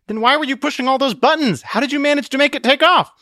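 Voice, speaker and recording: A man's voice: high voice, huge pitch swings, fairly quick, sharp tone, dry recording, studio quality, voice pushed up, exaggerated voice. A man voicing an exasperated, incredulous male companion in an audiobook, earnest and indignant. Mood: panicked, indignant. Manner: indignant, questioning. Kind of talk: audiobook character voice.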